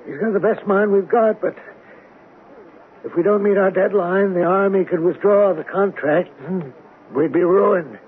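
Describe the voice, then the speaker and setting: High-voiced, flustered company boss. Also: male voice, unhurried. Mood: worried, confiding. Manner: hesitant, flustered, stammering. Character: nervous, distracted male executive of a weapons firm. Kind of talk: 1970s radio drama acting. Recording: narrow-band 1970s radio broadcast, faint noise.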